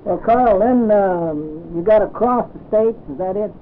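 Male interviewer, high voice, halting with fillers; faint hiss on thin old tape. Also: phone-quality sound. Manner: hesitant, many fillers. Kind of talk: oral history interview.

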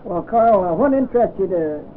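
Male interviewer, high voice, halting with fillers; faint hiss on thin old tape. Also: animated, phone-quality sound. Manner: hesitant, many fillers. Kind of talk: oral history interview.

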